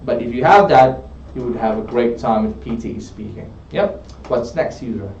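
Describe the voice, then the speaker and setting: A man's voice: medium pitch, moderate pace, gravelly, a little mellow, slight background noise. A man working as an English tutor, coaching a student in a studio and often checking in with "okay?". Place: studio room. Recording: roomy, distant, dull studio sound.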